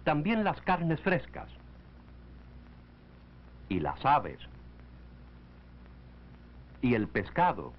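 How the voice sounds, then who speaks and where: A man reading scripted narration for a 1940s educational film, in a measured, didactic way. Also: fairly high pitch, wildly swooping pitch. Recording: dull lo-fi 1940s film soundtrack.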